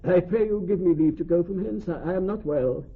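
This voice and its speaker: An actor playing a broken, defeated older moneylender in a classical Shakespearean radio drama. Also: male voice, sits high, brisk, slightly rough. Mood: defeated, ailing. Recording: tinny narrow-band recording.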